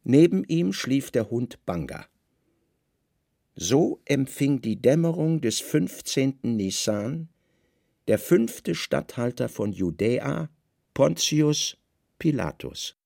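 A male narrator tells a literary story slowly and deliberately, with widely moving intonation. His hushed, gravelly delivery is dramatic and recorded very dry. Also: mid-range voice, sing-song, no echo.

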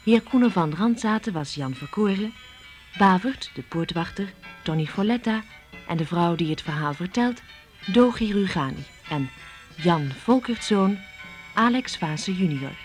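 A woman's voice: on the low side, lively intonation, a little slow, soft background music. A woman reading out scripted credits as the announcer of a radio play.

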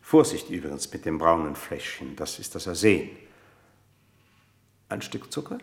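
An actor playing a formal, obsequious servant with quiet menace, speaking in a stilted, overly polite way in a German radio play. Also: male voice, sits low, big pitch swings, unhurried, creaky, full character voice. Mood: dutiful, formal. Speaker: household servant.

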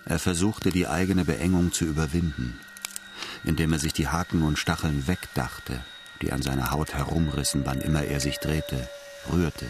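A man's voice: rumbling low, steady tone, moderate pace, noisy background. A man narrating a literary radio drama in a scripted, storytelling style. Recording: music bed under studio voice. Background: music.

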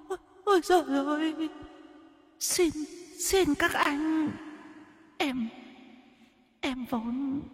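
A man voicing a starving young woman's ghost who whimpers and cries in a thin, hushed, high voice. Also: husky, exaggerated voice. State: crying. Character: starving female ghost, young, pitiful and wailing.

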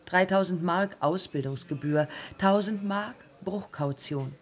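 An actress playing a defiant, haunted early woman pilot in a German radio play, speaking in terse, deliberate fragments. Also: on the low side. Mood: matter-of-fact, resolute. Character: pioneer woman aviator, haunted, defiant, morphine-addicted.

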